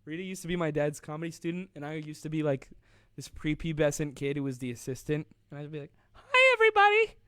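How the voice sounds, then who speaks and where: A young man with a slightly high voice chats playfully and casually, rambling and laughing often as he hosts a live stream. The sound is dry and close, with no room echo. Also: level tone, fast, a little mellow. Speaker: host of a live online show.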